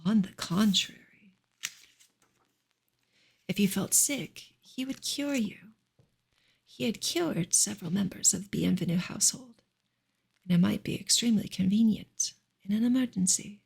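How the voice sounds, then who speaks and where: A woman reading a novel aloud in a soft, hushed, breathy ASMR style, often slipping into a whisper. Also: mid-range voice, measured pace, long pauses, rough recording. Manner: hushed, gentle delivery.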